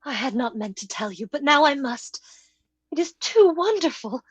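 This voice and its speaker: A woman's voice: high voice, big pitch swings, moderate pace, no room sound. A woman playing an earnest young woman in love, with breathless, formal delivery in old-time radio drama style. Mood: wondering.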